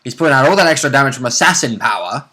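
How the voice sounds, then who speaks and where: Lively bright-voiced man, a tabletop gamer. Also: medium pitch, racing. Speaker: player in a tabletop game. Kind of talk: casual chat recapping a game.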